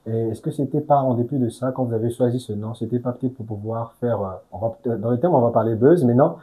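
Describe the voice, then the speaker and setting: A man's voice: medium pitch, fast, dark tone. A man hosting an interview, asking long, formal and polite questions and murmuring acknowledgements. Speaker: interview host.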